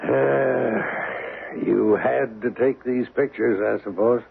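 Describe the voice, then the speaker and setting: Jaded american embassy official, gravelly n husky, bossy dry delivery, tinny old radio show. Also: male voice, medium pitch, slow pace, soft background music. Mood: sardonic. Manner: wry, clipped, commanding. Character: brisk, cynical American embassy official, male. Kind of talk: old-time radio drama acting. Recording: tinny, band-limited old radio broadcast.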